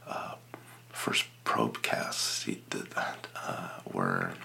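A man plays a reverent podcast character with an extremely low voice full of heavy fry. He speaks in halting, whispery phrases with a sharp edge, over noticeable background noise. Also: steady tone, heavily acted. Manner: halting, hushed, whispery delivery. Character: reverent, hushed small-town radio devotee and podcaster. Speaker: podcast guest and producer. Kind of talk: improvised comedy podcast character.

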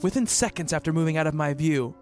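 An actor playing an earnest, devoted boyfriend who narrates a horror radio play in measured, formal, literary language. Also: male voice, fairly high pitch, lightly acted. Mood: measured, brooding. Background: music.

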